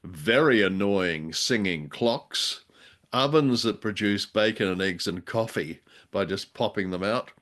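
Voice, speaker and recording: Low-voiced man, halting and bookish. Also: fairly bright tone. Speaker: radio show co-host. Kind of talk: casual discussion of old radio drama.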